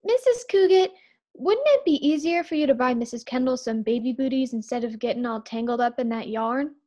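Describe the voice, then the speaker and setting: Woman playing high-voiced naive housemaid. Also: expressive, fairly quick, mellow. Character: naive, wry, loyal housemaid Katie. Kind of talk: 1940s radio sitcom acting.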